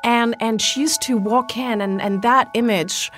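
A woman's voice: fairly high pitch, fairly even intonation, unhurried, fairly bright tone, soft background music. A woman in her thirties with a Lebanese accent, speaking as an interview guest, reflective and full of restarts. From Algeria. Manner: reflective, many fillers and restarts. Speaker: guest, fashion founder. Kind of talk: conversational interview.

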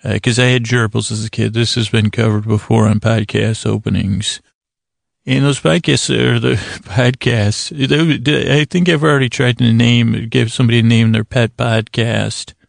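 A man hosting a bedtime podcast in a halting, meandering monologue, repeating himself, with a gravelly voice close to the microphone. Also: medium pitch, steady tone, no echo. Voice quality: gravelly, creaky. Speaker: podcast host. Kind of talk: rambling bedtime monologue to help listeners sleep.

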